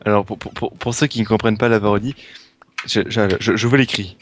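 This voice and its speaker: Teasing young man, low voice. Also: fairly even intonation, fairly quick, noisy background. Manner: teasing, stumbling over words, playful. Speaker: co-host, fellow player. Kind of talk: casual gaming chat with a friend.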